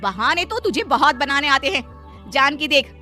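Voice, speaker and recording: A woman's voice: high-pitched, expressive, normal speed, faint music behind. A woman voicing a wealthy, bossy mistress who scolds her maid in a cartoon. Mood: scolding. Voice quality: bossy, sharp-tongued. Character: wealthy middle-aged mistress, haughty, scolding.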